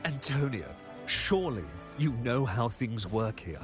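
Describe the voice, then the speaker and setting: An actor playing a smug, mercenary rival in a video game, with a taunting, condescending manner. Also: male voice, mid-range voice, lively intonation, unhurried, over music, natural voice. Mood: smug, mocking. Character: smug, mercenary male rival of a merchant family.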